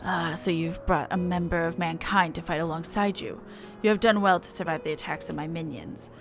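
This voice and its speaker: A woman's voice: fairly low pitch, steady tone, a little fast, over music, lightly acted. A woman voicing a menacing, boastful dragon in a fantasy game, ominous and grandiose. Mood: menacing, gloating. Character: menacing, boastful dragon minion, scornful of mankind. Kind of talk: dramatic read-aloud of game dialogue.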